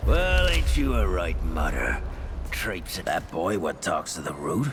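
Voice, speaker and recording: A deep, gruff, folksy merchant. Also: male voice, sing-song, unhurried, noisy background, heavily acted. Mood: wry, sceptical. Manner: grumbling, wry, colloquial drawl with folksy grammar. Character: gruff, folksy, grudging male supply merchant. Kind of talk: video game character dialogue.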